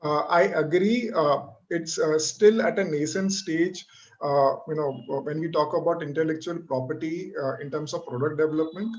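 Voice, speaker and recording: A man's voice: fairly high pitch, normal speed. A man from India answering panel questions hesitantly, with many filler sounds, in an online webinar. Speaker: hackathon organizer presenting. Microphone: computer mic on video call. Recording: muffled lo-fi webinar audio.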